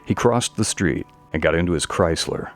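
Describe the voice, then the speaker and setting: Narrator brief: man with a very low voice, scripted mystery storytelling, faint music underneath. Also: moderate pace.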